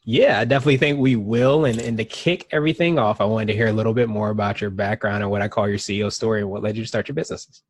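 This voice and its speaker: A man's voice: on the low side, fairly even intonation, very fast, hardly any pauses, dry recording, studio quality. A man hosting a podcast, reading a guest's bio and asking friendly interview questions. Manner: friendly, reads guest bio, brief affirmations. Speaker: podcast host.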